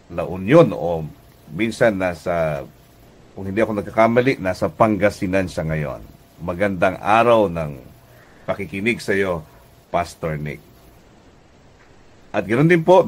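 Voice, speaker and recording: A middle-aged Filipino man speaking as a pastor hosting a devotional radio program, deliberate and preacherly, often pausing mid-phrase. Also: low voice. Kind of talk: devotional radio sermon with greetings.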